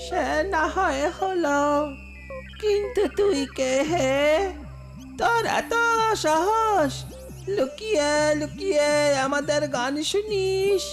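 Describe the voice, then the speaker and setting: A woman's voice: very high-pitched, lively intonation, slow, exaggerated voice. A woman voicing an old, suspicious, teasing ghost in a children's cartoon. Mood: suspicious, scolding. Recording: loud music behind voice.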